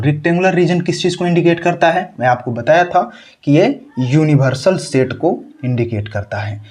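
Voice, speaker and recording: A man's voice: a little high, a little mellow. Young guy teaching math, sore throat, voice bouncing up and down a lot, keeps asking if you get it. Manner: rhetorical questions and repeated checks. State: sore throat, straining to speak. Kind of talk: tutorial lesson.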